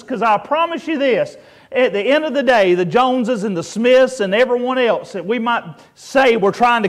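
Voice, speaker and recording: Very high-voiced man preaching. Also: fairly bright tone. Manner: preaching with drawn-out, emphatic calls to rejoice; asks the congregation for amens. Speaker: pastor. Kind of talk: sermon. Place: church.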